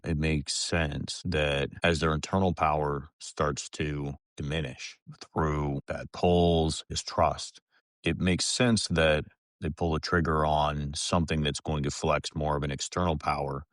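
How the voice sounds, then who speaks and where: A man co-hosting a casual podcast as a psychiatrist, earnest and analytical in a measured way. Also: very deep voice, fairly bright tone, dry recording, clean studio sound.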